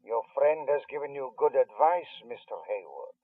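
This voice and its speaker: A kidnapper in a radio spy thriller. He is measured, polite and quietly threatening, a mid-pitched voice narrowed by a tinny phone line with a dry sound. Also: expressive, dry recording. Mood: cold menace. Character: coldly menacing male kidnapper issuing ransom instructions. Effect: telephone line.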